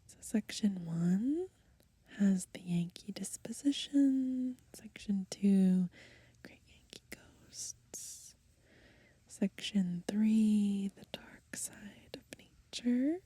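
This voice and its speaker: A woman whispering softly and slowly in a gentle ASMR style, pausing between short phrases as she reads and browses through a book. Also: medium pitch, no room sound. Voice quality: breathy, mostly unvoiced whisper. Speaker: ASMR host. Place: outdoors, lying in grass in the countryside.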